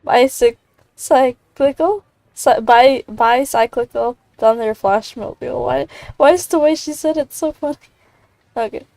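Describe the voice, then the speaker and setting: A woman's voice: high-pitched, measured pace, vocal fry, a little mellow, no room sound. A woman game streamer reacting casually to gameplay with an amused, giggly manner.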